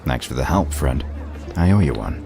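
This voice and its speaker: A man playing a gruff, dryly sardonic sheriff detective in an audio drama, speaking in a gravelly voice. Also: rumbling low, animated, moderate pace. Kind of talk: fan-made audio drama acting. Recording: music under the voice.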